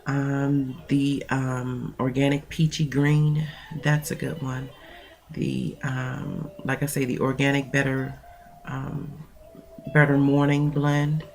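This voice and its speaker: A woman's voice: a bass voice, level tone, slow, creaky, darkish tone. A woman mentoring coach with a stuffy nose, talking in a chatty, rambling way with many fillers and reading aloud from her own notes. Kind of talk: casual talk and reading aloud.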